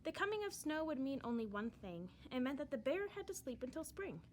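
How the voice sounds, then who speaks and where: High, animated woman reading a storybook. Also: a little fast, a little hiss or hum. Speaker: storyteller reading a children's book aloud. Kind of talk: expressive read-aloud storybook narration.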